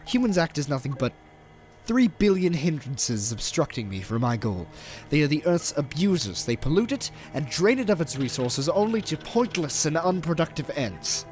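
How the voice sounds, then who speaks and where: A man's voice: fairly high pitch, very animated, normal speed. A man playing a cold, gloating alien villain who despises humanity, in menacing, speech-making audio drama. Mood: scornful, grandiose. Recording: loud music behind the voice. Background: dramatic music.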